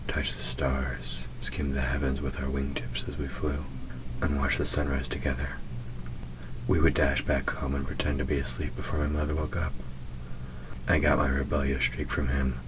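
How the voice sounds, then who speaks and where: An ancient, proud demon, played by a man, with an extremely deep voice. He murmurs slowly and deliberately, nearly whispering, gruff yet tender underneath. It is an intimate audio roleplay with clear background noise. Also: level tone. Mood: wistful, tender. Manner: slow, deliberate, softly murmured, often near a whisper. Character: centuries-old male demon, proud, gruff but tender.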